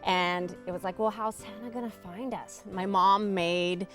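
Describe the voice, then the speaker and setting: A woman's voice: medium pitch, steady tone, a little slow, a bit bright, soft background music. A woman from Canada telling personal stories casually on a panel show. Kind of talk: casual chat, personal storytelling.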